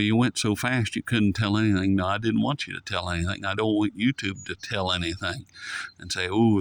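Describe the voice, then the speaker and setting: A man's voice: fairly low pitch, a bit bright, dry recording. A man casually reviewing a shortwave radio in a folksy, rambling way that drifts off topic. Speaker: radio reviewer, channel host. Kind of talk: casual, unscripted product review.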